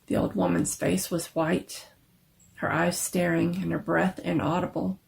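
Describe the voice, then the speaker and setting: Creaky-voiced woman narrating dramatically. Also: lowish voice, little pitch movement, measured pace, rough recording. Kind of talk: dramatic reading of a scary story.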